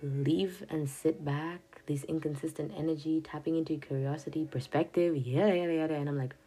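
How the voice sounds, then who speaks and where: A woman's voice: sits low, steady tone, brisk, dark tone, slightly rough. A young woman whose first language is Swahili, rambling through her journal notes in a casual podcast. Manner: rambling, self-interrupting, with frequent laughs and "yada yada". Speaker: podcast host. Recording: faint music behind the voice.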